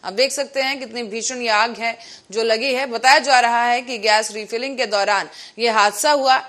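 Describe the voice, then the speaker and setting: A woman's voice: a little high, steady tone, moderate pace, a bit bright. A woman news anchor reading scripted headlines and reports.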